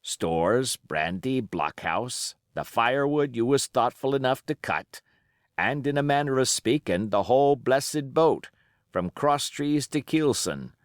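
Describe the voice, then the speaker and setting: A man's voice: medium pitch, expressive, unhurried, no room sound, studio quality, pitched above the performer's own voice, lightly acted. A man voicing a wily old pirate captain, genial yet menacing, in folksy sailor talk for an audiobook. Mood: boastful, wry. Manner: folksy sailor dialect, cajoling, sly. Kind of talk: audiobook character acting.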